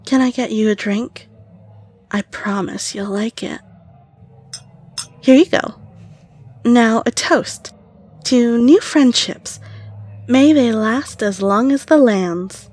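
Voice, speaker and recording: A woman performs a flirtatious female fae in an audio drama. She is coy and possessive, drawing out her words at an easy pace. The voice is a little husky, with a teasing, sultry manner. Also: fairly high pitch, takes it slowly, long pauses, a little mellow. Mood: flirty, coy. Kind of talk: scripted audio roleplay.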